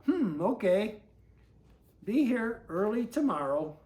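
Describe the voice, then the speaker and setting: A woman voicing a young boy from a picture book, earnest and a little halting, with a proud imagination. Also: medium pitch, big pitch swings, warm tone, a little hiss or hum. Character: young boy, downcast but proudly imaginative, storybook hero.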